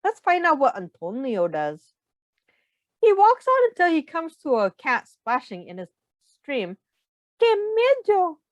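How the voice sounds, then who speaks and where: Female children's read-aloud teacher, very animated, high voice, asks listeners questions; dry, slightly muffled lo-fi sound. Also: a little slow, no echo. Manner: simple, guiding questions to listeners. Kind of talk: expressive picture-book read-aloud with questions.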